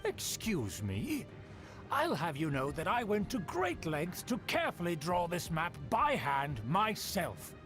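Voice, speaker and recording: An actor playing a haughty, scheming young aristocrat who talks in a pompous, indignant way. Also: male voice, sits high, huge pitch swings, unhurried, exaggerated voice. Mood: affronted. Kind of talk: video game voice acting. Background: game score music.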